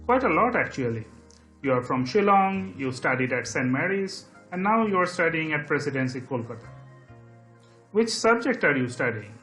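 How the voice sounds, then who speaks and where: A man's voice: on the high side, big pitch swings, moderate pace, fairly bright tone, faint music behind. A man playing a witty, bookish young Indian student in an audio drama, with an Indian accent. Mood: teasing. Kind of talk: scripted audio drama dialogue.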